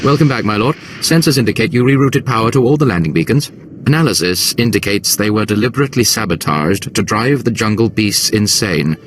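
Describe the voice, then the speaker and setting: A man's voice: on the low side, natural voice. A man playing a formal, respectful Imperial military commander in a video game cutscene. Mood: grateful.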